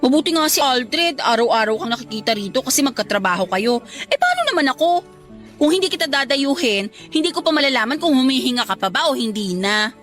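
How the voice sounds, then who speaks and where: A woman's voice: sits high, big pitch swings, quick pace, fairly bright tone, soft background music. A Filipino woman playing a chatty, clingy young bride-to-be in a Tagalog radio romance drama, gushing and teasing. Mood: pouting, teasing.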